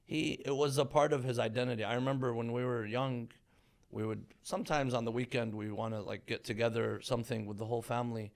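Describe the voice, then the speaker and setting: A man in his thirties, born in Iran, a religious scholar speaking as a guest in a relaxed interview with a measured, thoughtful manner. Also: mid-range voice, vocal fry. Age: 30s. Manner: measured, thoughtful storytelling with fillers. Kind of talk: conversational interview.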